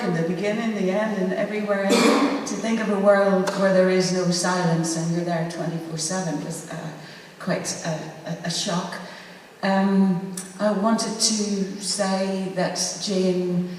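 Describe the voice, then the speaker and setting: Halting woman in a very echoey hall. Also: mid-range voice, a little mellow. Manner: halting, thoughtful, searching for words. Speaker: actor speaking as a panelist. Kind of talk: informal talk to an audience about a theatre project. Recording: echoey hall, distant mic, faint noise.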